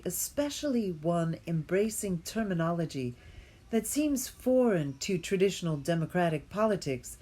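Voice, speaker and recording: A woman reading an academic text aloud as an amateur, haltingly, often going back to repeat a phrase and correct herself. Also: lowish voice, darkish tone. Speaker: reader.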